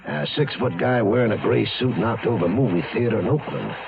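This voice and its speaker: Gravelly county sheriff, animated delivery. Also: male voice, mid-range voice, fairly quick, muffled recording, a crowd in the background. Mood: grave, matter-of-fact. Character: brisk, grim county sheriff briefing a newsman. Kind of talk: 1970s radio drama acting.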